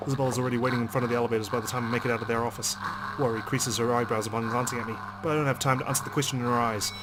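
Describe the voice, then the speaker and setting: A man's voice: medium pitch, level tone, racing, low-quality recording. A man hosting a game playthrough, reading narration aloud expressively and dramatically. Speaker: gaming video host. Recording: game music behind the voice.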